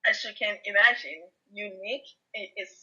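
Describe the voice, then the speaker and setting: Dutch-speaking Belgian woman, bright lo-fi voice. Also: medium pitch, normal speed, slightly rough. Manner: emphatic, says "you know" a lot. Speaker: guest. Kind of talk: casual interview chat.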